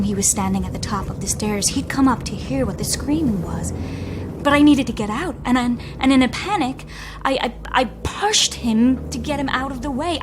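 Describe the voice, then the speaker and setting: An actress with an Irish accent playing a guilt-ridden, weary and defiant woman, halting and intense, in an audio drama. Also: a little high, noisy background, close to an everyday voice.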